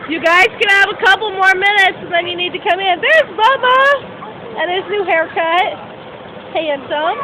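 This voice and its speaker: A woman's voice: extremely high pitch, normal speed. A woman filming her children playing in the rain, coaxing and playful as she calls out to them. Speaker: parent filming kids. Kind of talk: casual home video narration. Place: outdoors in the rain. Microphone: handheld camera. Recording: loud rain and outdoor noise.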